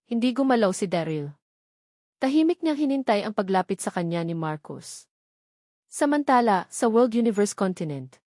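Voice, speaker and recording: Woman, medium pitch, scripted novel narrator, dry close sound. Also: very animated, normal speed, darkish tone, no room sound. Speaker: audiobook narrator.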